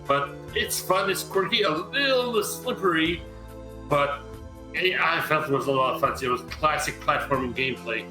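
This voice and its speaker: Upbeat high-voiced Canadian man, echoey room. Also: normal speed, sharp tone. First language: French. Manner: chatty, upbeat, explains game mechanics in detail. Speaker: guest player. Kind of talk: casual chat. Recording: echoey room with music behind.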